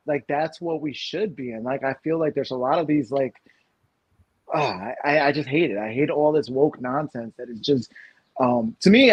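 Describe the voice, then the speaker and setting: A man in his thirties from the United States, co-hosting a casual podcast chat, animated and rambling with lots of fillers and restarts. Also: on the high side, breathy edge, no echo, highs cut off. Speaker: podcast co-host.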